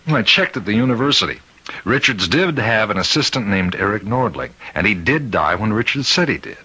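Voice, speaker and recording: An actor playing a respected prison warden who is growing uneasy, halting and brooding, in an old-time radio mystery. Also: male voice, on the low side, expressive, bright, forceful tone, breathy edge, heavily acted, low-quality recording. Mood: musing, domestic. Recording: vintage radio drama recording.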